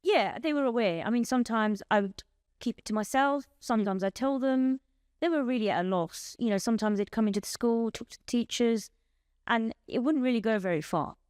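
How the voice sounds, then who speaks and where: A woman in her thirties, born in Bangladesh with Bengali as her first language, speaking as a podcast guest in an earnest, measured way and telling her story in long lists. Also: on the high side, a little mellow, clean tone, no room sound, clean studio sound. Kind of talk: personal testimony in an interview.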